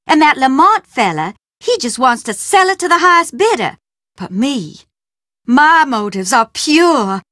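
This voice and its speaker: A secretive, mystical housekeeper who schemes in a drawling, theatrical way,. Her pitch sits fairly high and swings widely. The read is close and dry, with no room sound. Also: big pitch swings, measured pace, bright, forceful tone, no room sound, heavily acted. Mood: gloating, scheming. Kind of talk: video game voice acting.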